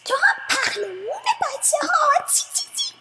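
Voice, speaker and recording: Affectionate little sparrow, children's radio play: extremely high-pitched, very animated. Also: female voice, heavy background noise, full character voice. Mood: playful. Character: tiny affectionate, devoted little sparrow friend.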